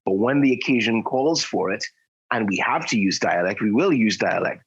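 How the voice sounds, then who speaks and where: A man's voice: fairly low pitch, lively intonation, normal speed, breathy edge. A man with a Trinidadian accent, co-hosting a podcast in talkative casual chat. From Trinidad and Tobago. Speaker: podcast co-host. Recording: muffled lo-fi podcast audio.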